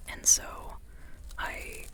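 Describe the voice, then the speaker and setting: A person whispering an informal, rambling ASMR book chat in a breathy, unvoiced voice, like a friend talking on and on. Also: very slow, pushed and bright. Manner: informal, rambling, often checking in with "you know". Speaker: book reviewer and artist.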